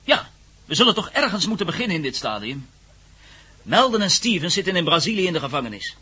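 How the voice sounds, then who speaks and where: A man's voice: high voice, sing-song, fast talker, pushed and bright, husky, full character voice. A man acting in a Dutch radio play as a brash, practical, wisecracking younger man with a blunt, informal way of talking. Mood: impatient, businesslike. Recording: noisy radio play recording.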